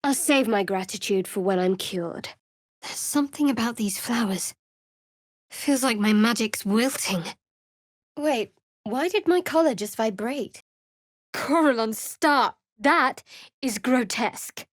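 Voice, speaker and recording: A woman's voice: a little high, expressive, takes it slowly, no echo. An actress voicing a guarded, sardonic young woman cleric in a fantasy video game, with a dry, wry and reserved delivery. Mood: wary, curious. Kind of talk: video game character voice acting.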